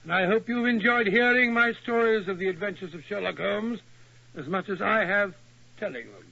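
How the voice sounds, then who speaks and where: A man's voice: high voice, moderate pace, vocal fry, pushed and bright, no room sound, exaggerated voice. An actor playing a flustered middle-aged male doctor sidekick who stammers and blusters, in 1940s radio acting. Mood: genial. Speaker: actor and sign-off host. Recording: dull lo-fi 1940s radio broadcast.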